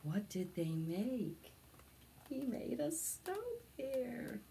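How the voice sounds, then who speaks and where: A woman's voice: fairly high pitch, animated, extremely slow, gravelly, warm tone, slight background noise. A middle-aged woman reading a picture book aloud to preschoolers, expressively, stretching out words for young listeners. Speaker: library storytime reader. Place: indoors, in front of a decorated backdrop.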